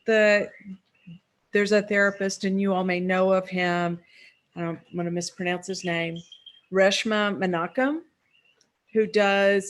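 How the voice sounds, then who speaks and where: A woman speaking reflectively as a panelist, measured and thoughtful, pausing in the middle of phrases. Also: on the low side.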